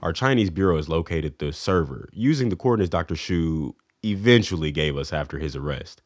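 Cool, wry corporate defense chief, deep and dry. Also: male voice, expressive, brisk, no room sound. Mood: briefing. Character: smug corporate defense chief, middle-aged man. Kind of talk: radio drama acting.

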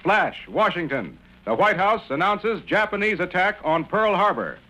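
A man's voice: high voice, big pitch swings, unhurried, sharp tone, rough recording. A man reading a formal news bulletin in old-time radio announcer style.

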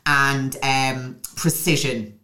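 A woman's voice: very deep voice, little pitch movement, slow pace, bright, forceful tone, roomy sound. A young woman from Newcastle with a mild northern English accent touched by a hint of American, chatting casually as a podcast guest and often digressing. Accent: mild northern English, Newcastle traces mixed with a hint of American. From Newcastle, England. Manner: chatty and digressive, with many restarts. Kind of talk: casual interview chat.

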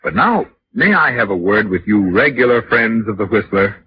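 A man's voice: fairly low pitch, expressive, normal speed. A man announcing a sponsored programme in 1940s radio style. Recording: muffled, noisy vintage radio broadcast.

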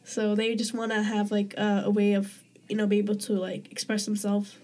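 A woman's voice: medium pitch, monotone, moderate pace, darkish tone. A woman talking as a podcast guest, in a casual, hesitant way with many fillers and restarts. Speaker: guest community member. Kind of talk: casual conversation.

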